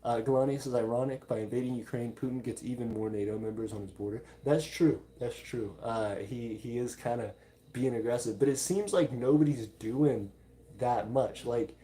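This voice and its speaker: Mellow-voiced man hosting a casual livestream. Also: medium pitch, moderate pace. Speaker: livestream host. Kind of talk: casual livestream chat, reading out and answering viewer comments.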